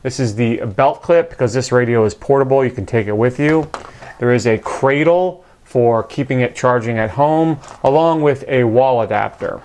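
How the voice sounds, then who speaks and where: Casual man explaining things, mid-pitched voice. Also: normal speed, darkish tone. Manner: conversational, explanatory, matter-of-fact. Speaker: product reviewer. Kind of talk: casual unscripted product review narration.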